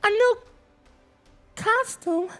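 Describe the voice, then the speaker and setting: Very squeaky, brash little alien. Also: male voice, very slow, fairly bright tone, soft background music, exaggerated voice. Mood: whiny, exasperated. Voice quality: very high, squeaky. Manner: exclamatory, complaining. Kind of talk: children's storybook character voice.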